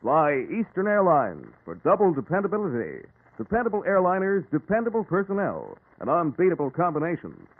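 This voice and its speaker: A man delivers a punchy old-time radio commercial. His voice is slightly high and swoops very energetically, heard through a tinny, narrow broadcast recording. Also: huge pitch swings, a little fast. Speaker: commercial announcer. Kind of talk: punchy vintage radio advertisement.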